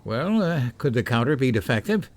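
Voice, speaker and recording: A man's voice: medium pitch, big pitch swings, moderate pace, close to an everyday voice. Man as a stern, protective professor father. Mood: concerned, firm. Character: middle-aged professor, stern but anxious protective father. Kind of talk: radio drama acting.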